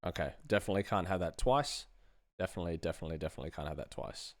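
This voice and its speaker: A man's voice: low-pitched, racing. A man in his thirties thinking aloud as a sound designer at work, talking casually in short muttered fragments into a close mic. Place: small indoor room at home. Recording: loud music over the voice. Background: loud music.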